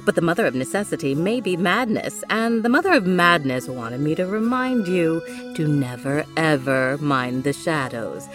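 A woman with a low-pitched voice hosts a scripted podcast outro. Music with chimes plays clearly behind her as she reads. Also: lively intonation, moderate pace, few pauses. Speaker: podcast host and director reading credits. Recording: clear music bed under the voice.

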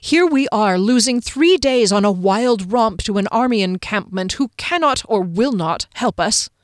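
A woman's voice: medium pitch, no room sound, studio quality, close to an everyday voice. Woman voicing a formal, tightly restrained male captain. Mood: angry accusation. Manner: formal, deliberate, intense.

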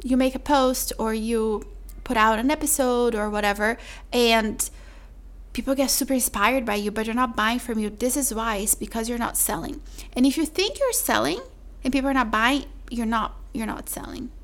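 Woman, podcast host, chatty, mellow voice, slightly high pitch. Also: normal speed. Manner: chatty, calls listener "my love", says "like" often. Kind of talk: solo podcast sales pitch.

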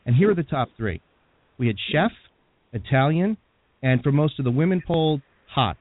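Chatty radio host, tinny sound. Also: male voice, on the high side, expressive, normal speed. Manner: chatty, many fillers. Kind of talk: radio interview.

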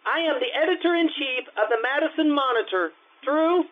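A woman's voice: high voice, normal speed, lightly acted. A woman playing an earnest, melodramatic teenage schoolboy with a pompous, florid manner in an old-time radio comedy. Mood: dramatic, alarmed. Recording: tinny, phone-like old radio-style sound.

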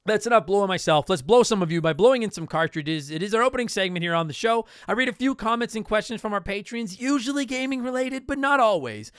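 High-pitched, casual male podcast host. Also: lively intonation, fast talker, few pauses, fairly bright tone, clear, resonant tone, dry recording, clean studio sound. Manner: self-deprecating, joking, blunt. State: slightly hoarse from talking. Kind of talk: casual solo podcast talk with promotional plugs.

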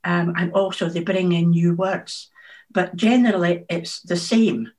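A woman, an author answering interview questions in a thoughtful, measured way. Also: on the low side, fairly even intonation, a little mellow. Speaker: author, guest.

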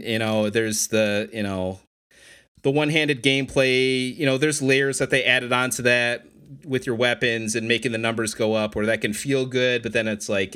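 A man's voice: lowish voice, bright, forceful tone. A man in a casual podcast chat about video games, talking in a rambling, reflective way. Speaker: podcast host.

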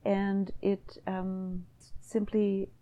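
A woman's voice: medium pitch, monotone, drawn-out words, narrow, tinny audio. A middle-aged woman with a Canadian accent, speaking as an interview guest in a thoughtful, hesitant way with many restarts. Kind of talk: reflective conversational interview.

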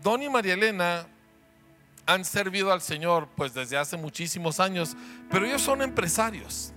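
A man's voice: high voice, animated, moderate pace, pushed and bright, faint music behind. A man with a Mexican Spanish accent, a pastor introducing guests to his church in a joking, chatty way that keeps asking the audience questions.

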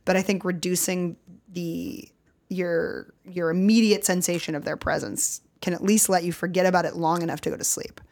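A young woman co-hosting a podcast, telling stories in an animated way, laughing and swearing casually. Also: lowish voice, moderate pace. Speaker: podcast co-host.

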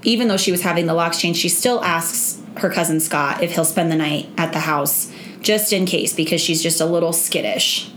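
Croaky sick woman on a podcast, lowish voice, walks through the story. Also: normal speed, a bit bright, a little hiss or hum. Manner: explains plainly, agrees often. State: croaky from a cold. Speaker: podcast co-host. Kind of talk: casual true-crime chat.

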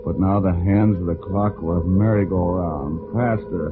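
Wisecracking gumshoe, low voice, dry humor, hesitant phrasing, 1940s radio play, narrow tinny sound. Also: male voice, steady tone, a little slow, over music. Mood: wry narrating. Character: wry, wisecracking hard-boiled male private detective.

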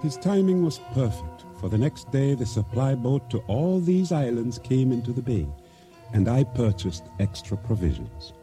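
A man's voice: mid-range voice, lively intonation, normal speed, dark tone, faint music behind, natural voice. A man playing a guarded, earnest island trader in a radio drama, telling his tale and talking in grave, formal phrases. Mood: brooding. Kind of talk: radio drama narration and dialogue.

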